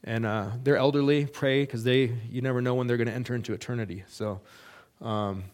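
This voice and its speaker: A middle-aged man, a missionary, giving a halting, earnest report to a church with many fillers and restarts. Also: medium pitch, fairly even intonation, a little mellow. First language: English.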